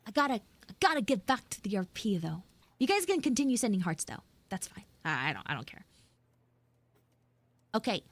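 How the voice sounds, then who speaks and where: A woman streamer talks casually to her viewers at a medium pitch. Her delivery is informal and a bit halting, and the sound is close and dry. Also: sing-song, no echo. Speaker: livestreamer and VTuber host.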